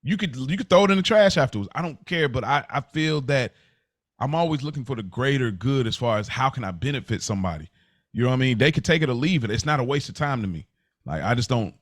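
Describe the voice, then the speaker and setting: A man's voice: mid-range voice, lively intonation, fast talker, no echo. Man telling stories casually, dry podcast sound. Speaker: podcast co-host. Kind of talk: casual conversational storytelling.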